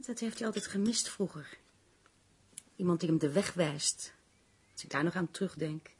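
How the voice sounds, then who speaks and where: An actress in a Dutch radio play playing a concerned, gossipy woman who confides dramatically in her friend, with a husky voice. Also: lowish voice, normal speed, a little hiss or hum, natural voice. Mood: wistful. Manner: dramatic, lingering over grim news.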